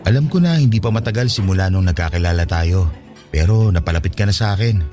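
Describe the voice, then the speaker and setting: Deep, mellow, devoted young suitor. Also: male voice, lively intonation, fast. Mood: tender, confessing. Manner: tender, earnest, reassuring. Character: devoted, patient young suitor, smitten office colleague. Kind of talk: Tagalog radio drama dialogue. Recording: studio drama with music underneath. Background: background music.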